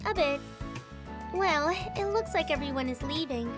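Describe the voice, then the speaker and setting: A young, competitive anime girl with a very high voice. She is polite yet self-critical, in visual novel style, with music playing behind her. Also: lively intonation, unhurried. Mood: calmer.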